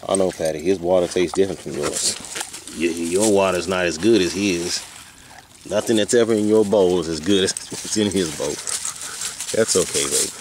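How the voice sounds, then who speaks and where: A man's voice: deep voice, moderate pace, darkish tone. A man of about forty, rambling casually in a folksy, colloquial way as he vlogs outdoors. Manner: folksy, colloquial, drifting into asides to his dogs. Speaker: vlogger. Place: outdoors on a hike. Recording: noisy outdoor ambience.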